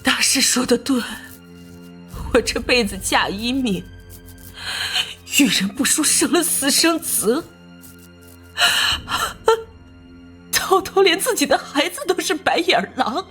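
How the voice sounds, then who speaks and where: Desperate bitter anime mom, super high piercing voice, pushy and dramatic, middle-aged. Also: female voice, expressive, takes it slowly, long pauses, a bit bright, soft background music, heavily acted. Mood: bitter, unhinged. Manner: theatrical, pressuring. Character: desperate, bitter, domineering middle-aged mother, cornered culprit. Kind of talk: dubbed animated crime drama.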